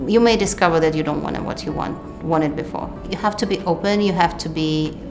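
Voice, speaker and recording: A middle-aged woman from Ukraine with a Ukrainian accent, speaking in a measured documentary interview with hesitant fillers. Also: low-pitched, over music. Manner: measured, thoughtful, hesitant fillers, non-native grammar. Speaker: physician and researcher.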